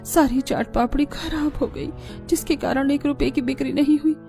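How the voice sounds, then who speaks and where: A woman plays a poor, humble wife who works as a street vendor in a moral cartoon. The voice is fairly high, gentle and plaintive, with faint music behind it. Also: steady tone, normal speed, vocal fry. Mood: sad. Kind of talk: Hindi moral cartoon voice acting.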